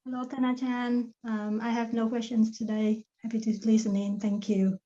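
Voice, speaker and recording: A woman's voice: fairly high pitch, level tone, moderate pace, dark tone. A woman taking part in an online class, answering hesitantly and casually. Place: Zoom call. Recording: dull, lo-fi video call.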